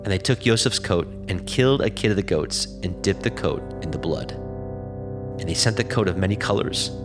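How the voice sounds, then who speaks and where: A man narrating scripture as a scripted reading, in a measured, solemn storytelling manner. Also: low-pitched, expressive, over music.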